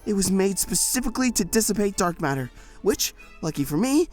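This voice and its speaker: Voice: mid-range voice, big pitch swings, brisk, faint music behind, natural voice. Exasperated warden narrating, voiced by a performer. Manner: frantic, exasperated storytelling. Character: harried prison warden narrating in first person. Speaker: first-person narrator. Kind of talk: fan audio drama narration.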